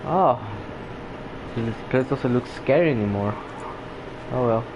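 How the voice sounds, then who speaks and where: A muffled, noisy recording carries a man's mid-pitched voice. He comments casually on gameplay in an offhand, slangy way, speaking slowly. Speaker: gaming video creator. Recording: dull lo-fi mic, noisy background.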